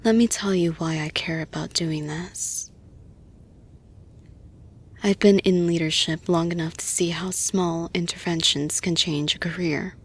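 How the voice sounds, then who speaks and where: The composed boss in an intimate audio roleplay who shuts the office door and soothes you in a husky, lowish, reassuring murmur a woman acting it out. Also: steady tone. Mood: sincere, caring. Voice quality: breathy, husky. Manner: slow, deliberate, reassuring. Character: caring, composed female boss gently offering support. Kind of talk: intimate roleplay, soft-spoken and measured.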